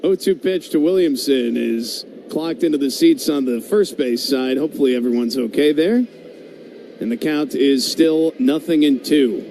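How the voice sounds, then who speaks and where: A man's voice: medium pitch, normal speed, vocal fry, low-quality recording. A man working as a baseball play-by-play announcer on live radio, mixing calls with casual banter over stadium ambience. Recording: steady ballpark background noise.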